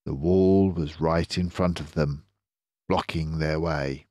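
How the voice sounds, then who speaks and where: An older man narrating a children's story aloud as a storyteller, in a measured, expressive manner. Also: very deep voice, no room sound, studio quality.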